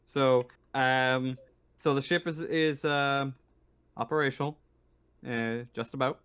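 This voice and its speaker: A man's voice: medium pitch, steady tone, slow, fairly bright tone, smooth. A man running a tabletop game casually as game master, hesitant and rambling, with an apologetic manner.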